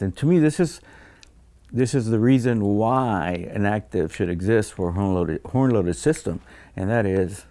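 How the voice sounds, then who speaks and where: A man speaking as a design engineer in an explanatory interview, measured and technical, often pausing mid-phrase. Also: fairly low pitch, a little mellow.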